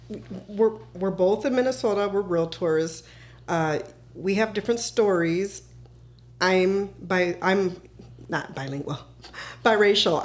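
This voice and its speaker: A woman podcast host and realtor, asking questions in an encouraging, casual interview manner. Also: medium pitch.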